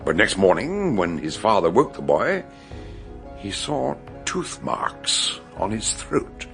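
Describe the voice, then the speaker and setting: An actor playing a shrewd, courteous older British detective in a radio drama, speaking in a ponderous, theatrical way. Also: male voice, on the low side, huge pitch swings, slow, gravelly, a bit bright, soft background music, full character voice. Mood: grave warning. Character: shrewd, grave, genial older male detective. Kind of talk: radio drama acting and narration.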